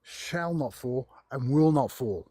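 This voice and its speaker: A man's voice: medium pitch, lively intonation, takes it slowly, dark tone, no echo, studio quality. A man from Lebanon, talking as a caller in a heated casual debate, emphatic and prone to repeating himself. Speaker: caller in a live debate stream.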